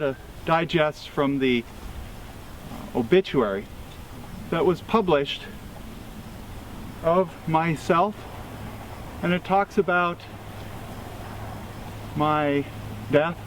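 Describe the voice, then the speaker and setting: American male, somewhat higher-pitched, formal memorial reader, measured and solemn, recorded outdoors with clear background noise. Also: poor audio. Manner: deliberate, solemn, phrase-by-phrase delivery. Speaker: freemason reader at a memorial event. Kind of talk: formal read-aloud obituary tribute. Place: outdoors at a cemetery event.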